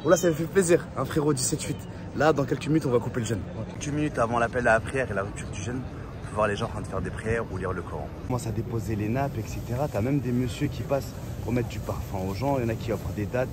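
A young man, narrating a travel vlog excitedly into a phone held at arm's length. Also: mid-range voice. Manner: excited, fast, chatty. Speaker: vlogger. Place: outdoors and in a large crowded mosque. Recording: loud crowd and street noise.

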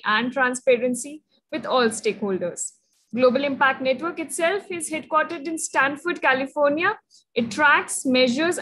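A young woman with a high, clear voice reads a formal introduction for a webinar guest. She works steadily through a long list of credentials, speaking into a webcam from an office. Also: a bit bright, rough recording. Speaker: webinar moderator. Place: college office, indoors. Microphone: video call webcam.

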